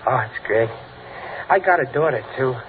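An actor playing a bumbling, good-hearted middle-aged American father in old radio comedy, stammering and folksy with rough working-class grammar. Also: medium pitch, expressive, normal speed, lightly acted. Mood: gentle, chatty. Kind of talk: 1940s-50s radio comedy acting. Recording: noisy, tinny vintage radio recording.

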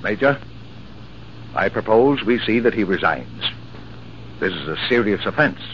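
An actor playing a sober army doctor in old-time radio drama, speaking formally and seriously. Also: male voice, fairly low pitch, animated, a little slow. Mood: grave. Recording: dull, noisy 1940s-50s radio recording.

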